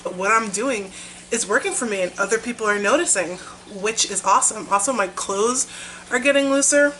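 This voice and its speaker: Bright-voiced woman losing her voice. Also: fairly high pitch, a little fast, a little hiss or hum, low-quality recording. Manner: chatty and upbeat, with fillers. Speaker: vlogger. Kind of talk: casual weight-loss vlog.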